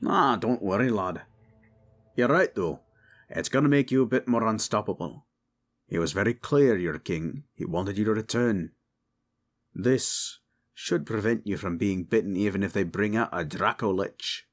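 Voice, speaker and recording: A man playing a gruff, hearty dwarf king and armorer in a fantasy audio drama, blunt, proud and commanding. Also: on the low side, measured pace. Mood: reassuring, hearty. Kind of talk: fantasy audio roleplay acting.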